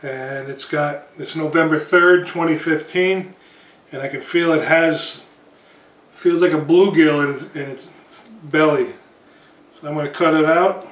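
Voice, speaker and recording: A middle-aged man talking casually and excitedly to the camera as he cleans a fish in his kitchen. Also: on the high side, a little slow, echoey room, low-quality recording. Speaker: angler. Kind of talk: casual fishing vlog. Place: home kitchen indoors, also outdoors by a lake.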